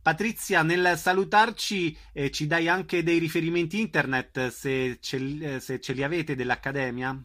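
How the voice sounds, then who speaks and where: A male radio host with a fairly high, crisp and bright voice. He presents announcements and interviews guests in a forward, sharp tone. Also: fairly even intonation, normal speed, few pauses.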